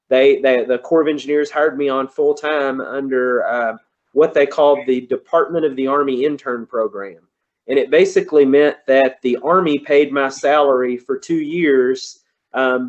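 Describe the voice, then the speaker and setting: Kentucky-accented presenter, 40s, male, easygoing, mid-pitched, slightly muffled call audio. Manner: folksy, conversational, says y'all. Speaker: guest presenter, engineer. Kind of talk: online presentation. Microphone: computer on a video call.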